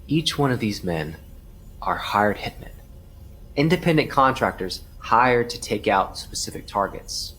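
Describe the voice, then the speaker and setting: A man acting as a calm, formal police detective who persistently questions a witness in a crime audio drama. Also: on the low side, measured pace, slightly rough, exaggerated voice, low-quality recording. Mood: calm, probing.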